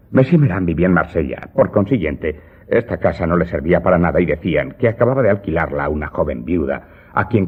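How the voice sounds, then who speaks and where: A man acting in a radio drama as a vengeful Corsican servant confessing to his master, telling his tale deliberately and dramatically with emphatic outbursts. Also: sits low, breathy edge. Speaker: voice actor in an audio drama. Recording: dull, muffled audio with loud music underneath.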